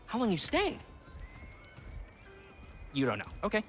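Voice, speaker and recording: A man's voice: sits high, measured pace, full character voice. A man voicing a chatty, nosy male refugee in a video game cutscene, with music behind. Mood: excitable. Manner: chatty, nosy, swings between excitement and doubt. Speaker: game character.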